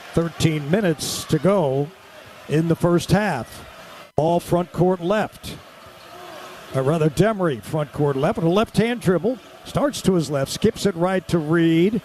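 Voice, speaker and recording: A man's voice: high voice, darkish tone, slight background noise. A man doing live radio-style basketball play-by-play in clipped, vivid running descriptions.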